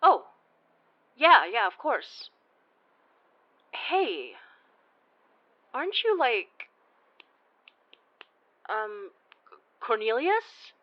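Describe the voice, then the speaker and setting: A woman's voice: fairly high pitch, sing-song, very slow, long pauses, lo-fi sound, exaggerated voice. A woman playing a friendly, weary investigator of the supernatural, speaking in a casual, conversational, sometimes hesitant way. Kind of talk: naturalistic audio drama acting.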